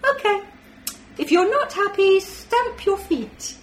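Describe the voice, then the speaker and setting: A woman voicing a lost, playful alien from another planet in an excited, sing-song way, inside a story she reads aloud. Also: extremely high pitch, slow pace, slight background noise, pitched above the performer's own voice, exaggerated voice. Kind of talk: acting out a voice within a read story.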